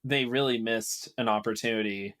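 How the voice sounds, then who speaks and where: Man, average pitch, casual podcast banter, jokey, geeky. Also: fairly even intonation, moderate pace, a bit bright. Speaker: podcast host. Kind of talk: casual chat.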